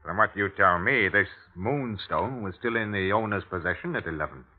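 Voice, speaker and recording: Casting: male actor as unhurried skeptical Victorian policeman, lowish voice, phone-thin vintage radio sound. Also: lightly acted. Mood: dry, skeptical. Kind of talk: 1950s radio drama acting. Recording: tinny vintage radio recording.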